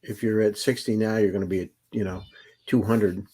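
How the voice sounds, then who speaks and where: Blunt, low-voiced male investor. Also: steady tone, a little fast, a little mellow, breathy edge. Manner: blunt, pressing with direct questions. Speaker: investor on a pitch panel. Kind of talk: probing business questions.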